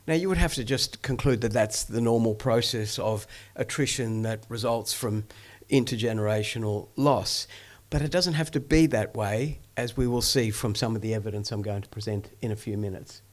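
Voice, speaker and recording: A man presenting research in a lecture, talking loosely with many ums, restarts and asides. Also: medium pitch. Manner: discursive, many ums and self-corrections. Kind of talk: academic lecture. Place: event hall.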